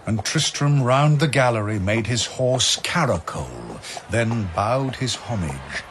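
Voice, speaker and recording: A man giving solemn, theatrical, measured narration of epic verse over atmospheric sound effects. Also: mid-range voice, lively intonation, fairly bright tone. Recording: clear ambient noise behind narration.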